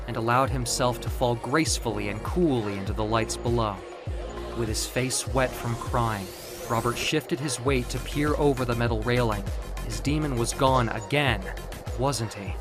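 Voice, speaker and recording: A man narrating scripted dark fiction in a dramatic storytelling style. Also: mid-range voice.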